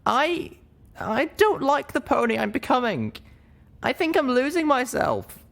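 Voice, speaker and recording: Man putting on a high young female pony. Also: animated, a little slow, gravelly, fairly bright tone, exaggerated voice. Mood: anguished, guilty. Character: young female pony, drunk, anguished, guilt-ridden.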